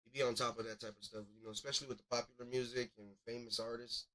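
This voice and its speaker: A man's voice: medium pitch, little pitch movement, very fast, fairly bright tone. A man hosting a music review livestream as DJ, chatting casually in a slangy, rambling way. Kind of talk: casual live commentary on songs.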